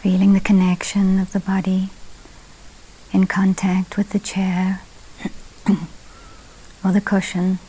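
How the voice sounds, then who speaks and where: A woman guides a meditation at a very slow pace. Her voice is mellow and relaxed, with little rise and fall in pitch. She speaks in sparse phrases that trail into soft, breathy endings. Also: rough recording. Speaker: meditation teacher.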